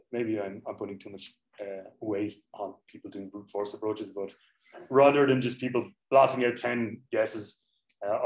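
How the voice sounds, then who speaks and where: A young lecturer, a man with a somewhat low voice, chats his way through course details, correcting himself often and explaining with examples. The sound comes from a laptop mic with lots of echo from the hall. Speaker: university lecturer. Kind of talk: informal classroom lecture. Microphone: laptop mic on the desk.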